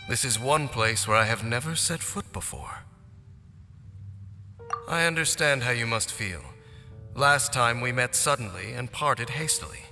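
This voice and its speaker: A man playing a solemn, guarded wandering swordsman who speaks in a measured, formal way in a game cutscene. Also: mid-range voice. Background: game score music with strings.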